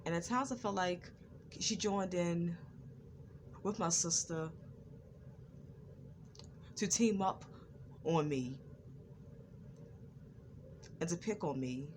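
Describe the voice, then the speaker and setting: Woman vlogger, stutters, slow and repetitive delivery. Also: on the low side, a little hiss or hum. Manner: repetitive, circling back over the same phrases. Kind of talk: personal testimony vlog.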